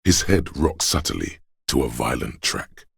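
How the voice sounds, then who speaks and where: Very deep, gravelly game narrator. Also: male voice, very animated, a little slow, no echo, studio quality, heavily acted. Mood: solemn, brooding. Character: grave, omniscient male narrator voice of police camaraderie. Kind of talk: dramatic scripted game narration.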